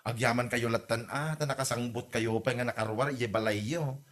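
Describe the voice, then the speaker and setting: A man's voice: medium pitch, steady tone, fairly quick, fairly bright tone, close to an everyday voice. A man acting a scolding, gossipy villager in an Ilocano comedy audio drama, with an Ilocano accent. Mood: scolding, mocking.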